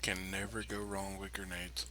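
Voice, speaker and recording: A man's voice: low voice, flat delivery, normal speed. A man making casual remarks during a tabletop game, recorded with noise behind him. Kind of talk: casual chat. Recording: clear background noise.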